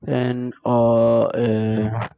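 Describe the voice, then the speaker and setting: A man's voice: medium pitch, monotone, extremely slow, vocal fry, clean tone, no echo. A man chatting casually as he plays a game on a livestream. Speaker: game streamer. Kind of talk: casual livestream gameplay chat. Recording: dull, lo-fi stream audio with music.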